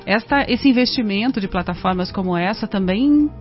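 A woman's voice: medium pitch, expressive, moderate pace. A woman interviewing a guest, speaking in a conversational way and affirming with brief replies. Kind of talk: radio interview. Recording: dull lo-fi radio sound with faint music.

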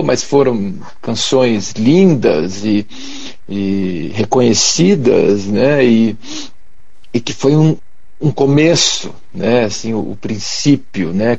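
A man's voice: medium pitch, gravelly, darkish tone, husky. A Brazilian man hosting a casual interview, speaking hesitantly and searching for words, on lo-fi livestream audio.

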